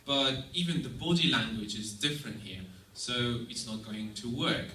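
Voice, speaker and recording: A young man with a Russian accent, a native Russian speaker, delivers an earnest, measured prepared talk and sometimes restarts a sentence. His voice sits at an ordinary pitch. The room is echoey and he stands some distance from the mic. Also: sharp tone, a little hiss or hum. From Estonia. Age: young adult. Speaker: exchange student giving a presentation. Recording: roomy sound, mic at a distance.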